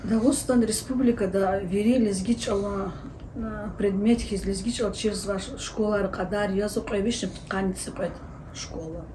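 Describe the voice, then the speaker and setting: A woman teacher answering interview questions at length, explaining steadily with many pauses and filler sounds. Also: medium pitch, fairly even intonation, noisy background. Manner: explanatory, hesitant with frequent fillers. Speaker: Lezgian language teacher and researcher.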